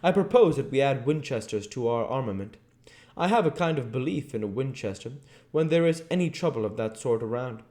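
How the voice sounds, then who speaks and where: A man's voice: medium pitch, lively intonation, a little fast, hardly any pauses, warm tone, voice pushed up, close to an everyday voice. A man voicing an eager, practical hunter in an audiobook, with a keen and forthright way of talking. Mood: keen, confident. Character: eager male hunter, practical, fond of guns. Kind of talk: dramatic audiobook character reading.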